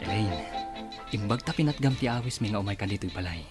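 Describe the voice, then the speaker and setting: A man playing a gentle, consoling admirer in a radio drama, with a Filipino Ilocano accent. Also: fairly low pitch, expressive, fast, music in the background, natural voice. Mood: tender. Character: gentle, consoling man offering comfort and pure love.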